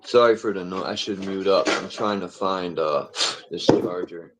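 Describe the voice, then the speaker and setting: A man from Connecticut hosting a casual livestream panel, talking loosely with halting restarts. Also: low voice, very noisy. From Connecticut, USA.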